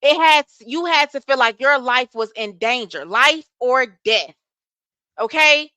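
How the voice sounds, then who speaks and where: Soft-voiced woman hosting a live chat. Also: high voice, a little slow, smooth, dry recording. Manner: animated, talks back to chat, curses freely. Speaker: livestream host. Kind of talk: casual live chat with viewers.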